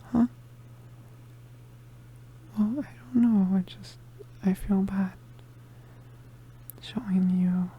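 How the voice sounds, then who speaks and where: A woman plays an injured young witch boy in an intimate ASMR roleplay. The voice is soft, mellow and breathy, often dropping into a whisper. He speaks slowly and haltingly, gentle and vulnerable, over faint background noise. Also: mid-range voice, rough recording. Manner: halting, stammering, hesitant.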